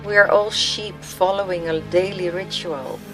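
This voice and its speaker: A woman's voice: on the low side, fairly even intonation, measured pace, natural voice, poor audio. A woman voicing a pensive, weary man in a brooding inner monologue for a radio play. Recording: music laid under the voice.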